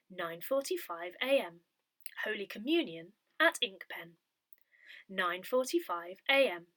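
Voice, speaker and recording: A woman reading a written script aloud in a measured, carefully phrased way. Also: medium pitch, pushed and bright, dry recording. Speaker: volunteer reader of an audio parish newsletter. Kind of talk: scripted read-aloud of articles, poems and notices.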